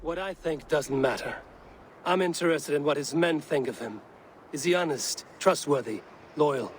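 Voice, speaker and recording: Measured, higher-voiced male Viking warrior by an actor. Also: slight background noise, lightly acted. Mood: guarded, firm. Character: confident, measured Viking warrior of a raven clan. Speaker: game character in cutscenes. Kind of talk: dramatic video game acting.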